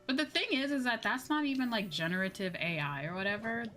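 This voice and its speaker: A woman's voice: a little high, fast talker, a bit bright, soft background music. A woman livestreamer chatting casually while gaming, blunt and swearing freely, repeating her points for emphasis. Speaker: livestream host, VTuber.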